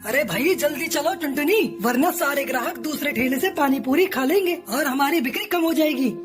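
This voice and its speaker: A woman plays a kindly married crow, a cartoon husband with a high voice. He sounds eager and earnest as he urges others on, with music behind. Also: lively intonation, normal speed. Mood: eager, hurried. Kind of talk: cartoon dubbing.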